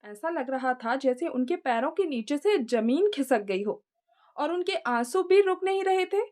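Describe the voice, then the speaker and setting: A woman's voice: sits high, lively intonation, unhurried, a little mellow. A woman storyteller narrating a scripted moral tale.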